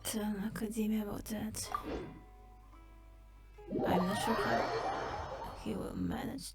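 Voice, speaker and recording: A woman giving casual gameplay commentary, with a hesitant manner that turns soft in places. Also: mid-range voice, level tone, slow pace. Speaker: gameplay video creator. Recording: game music behind voice. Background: game music.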